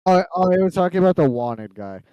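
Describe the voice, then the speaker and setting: A man's voice: high-pitched, big pitch swings, fast talker, clean tone, dry recording, muffled recording. A man co-hosting a livestream, talking casually while reacting to a video. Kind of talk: casual chat over a reaction stream.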